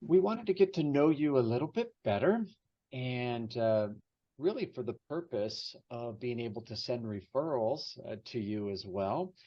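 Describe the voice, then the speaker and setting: A man's voice: mid-range voice, lively intonation, a little slow, mellow, dull audio. A man hosting a podcast interview, upbeat and chatty, with frequent fillers. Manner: upbeat, hesitant with many fillers. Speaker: podcast host. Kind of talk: casual interview chat.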